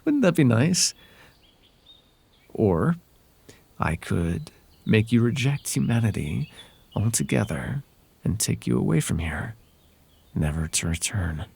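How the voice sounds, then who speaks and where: Man acting a sly, breathy-rough male fairy, lively pitch. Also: slow pace, pauses often, dry recording, exaggerated voice. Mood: sly, possessive. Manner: hesitant, intimate, conversational. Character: whimsical, smitten male fairy traveller, softly possessive and sly. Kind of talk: ASMR roleplay voice acting.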